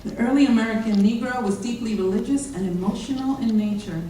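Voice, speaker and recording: A woman reads scripted narration for a live stage piece. Her voice is fairly high and mellow, distant in a heavily reverberant chapel. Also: fairly quick. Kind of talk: scripted readers theater narration. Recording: very echoey chapel, distant mic, faint noise.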